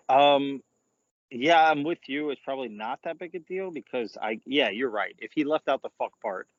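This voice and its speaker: A man co-hosts a casual wrestling podcast in a loose, profane style full of "like." His pitch sits in the middle range. The recording is dry and slightly muffled. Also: expressive, moderate pace, no room sound. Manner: casual, swears, lots of "like". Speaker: podcast co-host.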